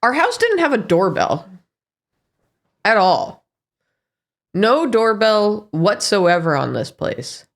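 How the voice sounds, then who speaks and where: A woman's voice: medium pitch, sing-song, takes it slowly, long pauses. A sniffly, run-down woman co-hosting a gaming stream, chatting casually with dry teasing.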